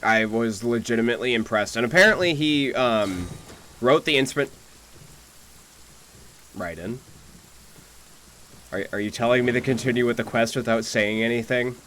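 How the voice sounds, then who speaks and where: A young man chatting casually on a game livestream, thinking aloud in a halting way. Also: medium pitch, bright, forceful tone, a little hiss or hum. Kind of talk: casual stream chat. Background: game music.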